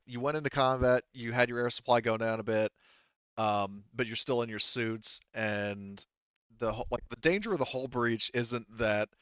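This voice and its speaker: A man's voice: mid-range voice, fairly bright tone, clear, resonant tone, no echo, muffled recording. A man talking casually as game master of a tabletop game, informal and swearing freely. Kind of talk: casual tabletop game narration and rules talk.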